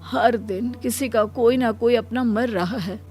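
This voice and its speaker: A woman's voice: a little high, measured pace, darkish tone, soft background music, close to an everyday voice. A woman voicing a weary, compassionate male doctor in a cartoon moral story, speaking earnestly. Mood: sad, burdened.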